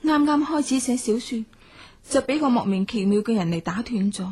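A woman's voice: on the high side, lively intonation, normal speed, warm tone, slightly rough. An actress playing a self-possessed young woman novelist in a radio drama, with a Hong Kong Cantonese accent. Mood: absorbed, storytelling. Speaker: lead actor and narrator. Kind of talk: radio drama acting and narration. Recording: music behind.

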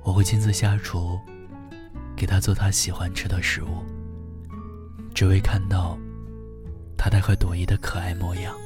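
Male actor as tender young boyfriend, deep, even-toned, intimate radio drama delivery over guitar music. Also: lightly acted. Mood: tender, devoted. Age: young adult. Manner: soft, tender, unhurried, breathy phrase endings. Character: gentle, devoted young boyfriend, wistful and heartbroken. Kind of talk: intimate first-person radio drama narration. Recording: music behind the voice.

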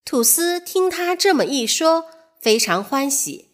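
A woman telling a scripted fairy tale to children in an expressive way. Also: high-pitched, unhurried. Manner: expressive, addresses young listeners. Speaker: children's storyteller.

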